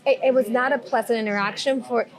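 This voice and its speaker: A woman's voice: on the high side, fairly even intonation, quick pace, a crowd in the background. A woman from Mexico with a Mexican accent, a guest in a casual podcast chat, talking things through in a reflective way and often checking in with "right?". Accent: Mexican Spanish. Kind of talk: casual conversation.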